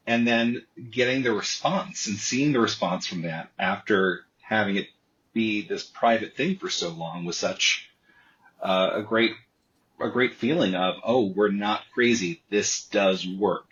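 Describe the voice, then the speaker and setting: A man speaks in a lowish voice, telling the story behind his comic in a casual interview. He stalls on repeated words before he gets going. The sound is muffled and lo-fi, like a remote call. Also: a little slow. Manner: repetitive restarts, animated storytelling. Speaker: comic artist guest.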